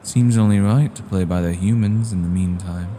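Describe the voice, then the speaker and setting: A man playing a cold, scheming vampire clan leader in a fantasy audio drama, speaking in a deliberate, formal and grave way. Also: low-pitched, dark tone, a little hiss or hum, natural voice.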